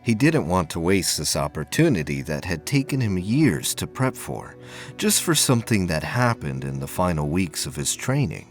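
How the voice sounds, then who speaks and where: A man's voice: low voice, very animated, normal speed, soft background music. A man reading scripted narration as the narrator of an audio drama.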